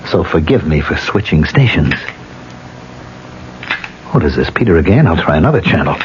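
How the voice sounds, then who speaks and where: A man's voice: low voice, sing-song, moderate pace. An actor playing a rattled, disbelieving, haunted man in a 1970s radio drama. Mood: uneasy. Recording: dull, faintly noisy vintage radio recording.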